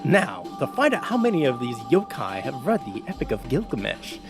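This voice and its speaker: A proud young king played by a man, haughty and full of bluster, his pitch swinging expressively. He grumbles his lines in a mythological audio drama with music clearly underneath. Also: wildly swooping pitch, fairly quick. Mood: smug.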